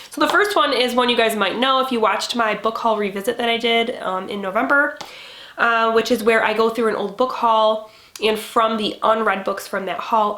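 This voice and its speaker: A woman's voice: a little high, a bit bright. Young woman host, stuffy nasal voice from a cold, chatty with lots of fillers, roomy echo. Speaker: BookTube host. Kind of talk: casual reading-plans chat.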